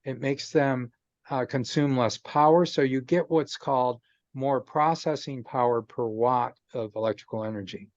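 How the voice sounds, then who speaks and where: A man's voice: medium pitch, normal speed, no echo, dull audio. A man in a casual video call chat, explaining technical ideas patiently with lots of "um" and restarts. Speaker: guest explaining chip technology. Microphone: video call microphone.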